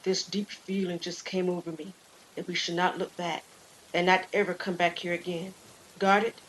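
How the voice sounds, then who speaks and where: A woman's voice: on the low side, flat delivery, moderate pace, fairly bright tone, slight background noise, close to an everyday voice, rough recording. Woman voicing a shaken young male hiker. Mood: solemn warning. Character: George, young man, shaken but grateful hiker. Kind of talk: audio story character dialogue.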